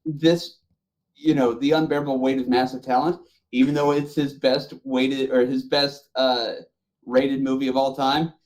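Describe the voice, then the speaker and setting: A man's voice: medium pitch, flat delivery, moderate pace, darkish tone, low-quality recording. A man bantering casually on a podcast panel, opinionated and loose with swearing.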